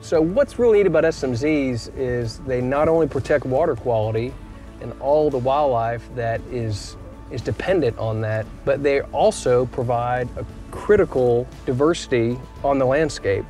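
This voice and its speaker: A man's voice: medium pitch, moderate pace, dark tone, soft background music. Enthusiastic man explaining a forest outdoors. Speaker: wildlife biologist.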